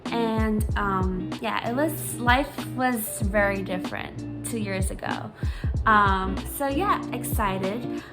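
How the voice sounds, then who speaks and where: A woman's voice: mid-range voice, slow pace. Candid young woman vlogging over music. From Singapore. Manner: candid, hesitant, many ums, reading from talking points. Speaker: vlogger, psychology grad student. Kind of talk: personal confessional vlog. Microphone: phone.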